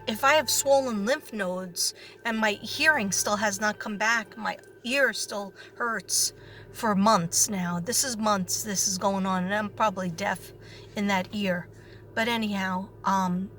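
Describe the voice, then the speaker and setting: A hoarse woman talking casually to her viewers in a rambling way, full of fillers and restarts. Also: medium pitch, fairly bright tone, a little hiss or hum. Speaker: psychic reader.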